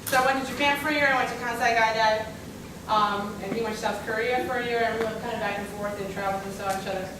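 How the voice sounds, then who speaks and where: A woman's voice: a little high, fairly even intonation, quick pace, hardly any pauses. A young woman from Russia whose first language is Russian, chatting on a panel about her own story with many fillers and asides. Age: young adult. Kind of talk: casual personal story on a panel. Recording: echoey room, far from mic, background noise.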